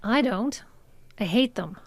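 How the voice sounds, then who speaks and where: A woman acting in an audio drama as a skeptical, blunt but soft-hearted agent with a matter-of-fact manner. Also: fairly high pitch, lively intonation, slow, no room sound, full character voice. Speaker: actor.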